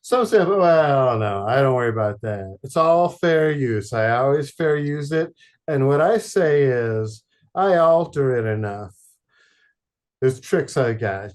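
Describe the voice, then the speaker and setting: A man from Detroit, a podcast guest, chatting casually in a halting, rambling way with many fillers and deadpan jokes. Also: medium pitch. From Detroit, Michigan. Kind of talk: casual chat.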